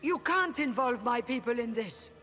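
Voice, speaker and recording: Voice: medium pitch, animated, moderate pace, a bit bright, natural voice. A woman playing a dignified, indignant leader of mages in a fantasy game, formal in manner. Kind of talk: dramatic fantasy game acting. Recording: hall reverb, music behind.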